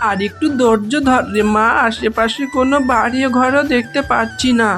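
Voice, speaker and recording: A woman voicing a poor, gentle widowed jackal mother in a cartoon, plaintive and soothing. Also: a little high, fairly even intonation, normal speed, loud music behind the voice, lightly acted. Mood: sorrowful, soothing. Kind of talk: cartoon voice acting.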